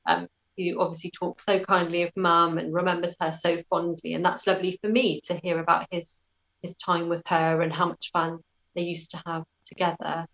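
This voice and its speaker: A woman's voice: on the low side, level tone, normal speed, fairly bright tone, poor audio. A British woman answering as an interview guest in a conversational, thoughtful, self-effacing way.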